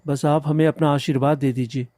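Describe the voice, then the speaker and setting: A devoted young village groom in a cartoon tale, acted by a man. He sounds high for a man yet very soft and dark in tone. He speaks earnestly and respectfully, in a dry, close recording. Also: fairly even intonation, brisk, no room sound, lightly acted. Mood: pleading. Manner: earnest, pleading. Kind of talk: Hindi animated moral story dialogue.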